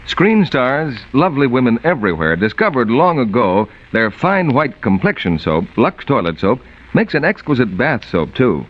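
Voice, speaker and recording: Animated vintage male radio announcer. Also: mid-range voice, moderate pace. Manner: polished, emphatic salesmanship. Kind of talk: 1940s scripted commercial announcing and narration. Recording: muffled vintage 1940s radio broadcast.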